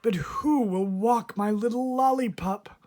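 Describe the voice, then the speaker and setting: A man's voice: high-pitched, unhurried, a little mellow, voice pushed up. A man voicing a fretful, doting older woman fussing over her dog in a storybook. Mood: distressed, doting.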